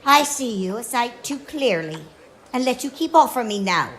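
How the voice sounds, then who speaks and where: An actress playing a proud, sharp-tongued middle-aged Irish beggar woman in a radio play, biting and deliberate. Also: a little high, expressive, a little hiss or hum. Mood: scornful. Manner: biting, deliberate dialect speech. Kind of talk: theatrical radio play acting.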